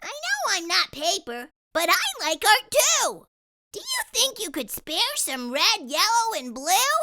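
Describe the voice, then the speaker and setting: A woman's voice: extremely high pitch, sing-song, unhurried, gravelly, pushed and bright, a little hiss or hum, voice pushed up, heavily acted. A woman voicing a small, earnest, eager stone character in a children's storybook, speaking emphatically. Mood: hopeful, eager.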